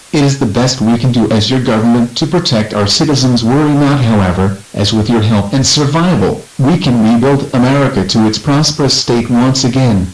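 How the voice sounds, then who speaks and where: A man's voice: medium pitch, close to an everyday voice, rough recording. President, played by a man, solemn and regretful, medium register, scripted national address in a disaster drama. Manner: solemn, measured, formal. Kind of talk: formal scripted televised address.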